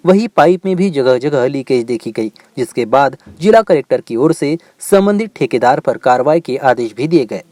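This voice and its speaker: A man's voice: medium pitch, animated, moderate pace, few pauses, a little mellow, no echo. A man delivering scripted news narration as a voice-over reporter.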